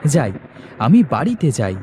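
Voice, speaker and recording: A man's voice: mid-range voice, wildly swooping pitch, unhurried, mellow, slight background noise. A man voicing a humble, earnest young village farmer who exclaims a lot in a cartoon story. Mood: earnest, relieved. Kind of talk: animated moral-story dubbing.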